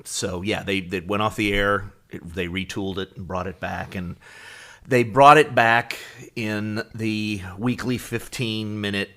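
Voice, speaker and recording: A man's voice: on the low side, steady tone, a little slow, fairly bright tone. A man co-hosting a podcast, explaining things in casual, agreeable and informative conversation. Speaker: podcast co-host.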